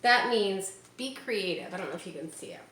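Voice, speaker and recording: A woman reads a picture book aloud to children like a teacher, with expressive emphasis and chatty, encouraging asides. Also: mid-range voice. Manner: expressive, drawn-out emphasis on key words; encouraging, explaining to young listeners. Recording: roomy echo with background noise.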